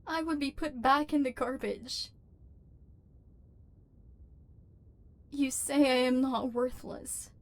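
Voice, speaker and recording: A woman voicing a lonely, wounded female robot overlord in an ASMR roleplay, speaking in formal, measured, machine-like phrases, guarded but yearning. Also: sits high. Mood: hurt, disbelieving.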